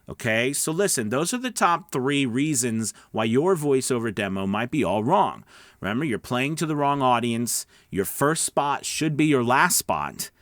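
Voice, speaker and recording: A man's voice: medium pitch, lively intonation, moderate pace, fairly bright tone, dry recording, studio quality. A middle-aged man giving casual instructional talk as a voice-over coach, emphatic and conversational, often checking in with listeners. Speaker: voice-over coach and online host.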